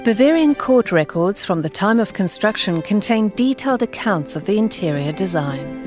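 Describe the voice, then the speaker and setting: A woman reading scripted documentary narration in a measured, explanatory way. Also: mid-range voice, animated. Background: background music.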